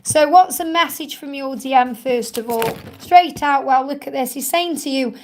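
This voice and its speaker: A woman with a slightly croaky voice hosting a live spiritual card-reading stream, gently reassuring viewers and calling them honey. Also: sits high, normal speed, slight background noise.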